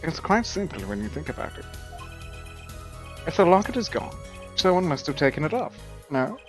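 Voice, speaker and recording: A person voicing a composed, suave male attorney mentor with a measured, condescending manner in a live fan dub of a courtroom game. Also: medium pitch, very animated, soft background music, close to an everyday voice. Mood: smugly assured.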